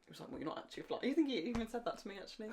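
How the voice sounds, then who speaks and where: A woman's voice: mid-range voice, sing-song, racing, gravelly, darkish tone, a little hiss or hum. A young woman co-hosting a podcast, chatting casually in an enthusiastic, talkative way. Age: young adult. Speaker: podcast co-host. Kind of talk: casual fan podcast chat.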